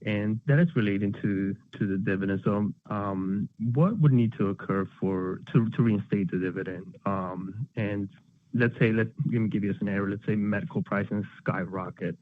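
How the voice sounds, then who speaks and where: A man asking a polite, slightly halting question as a financial analyst on an earnings call. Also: on the low side, no room sound. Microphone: telephone. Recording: dry, narrow phone line.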